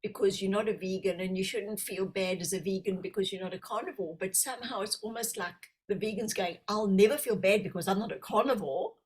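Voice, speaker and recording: A woman's voice: mid-range voice, brisk, few pauses, husky. A woman co-hosting a podcast, chatting casually in a reflective, joking way. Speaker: hypnotherapist, podcast co-host. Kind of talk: casual chat.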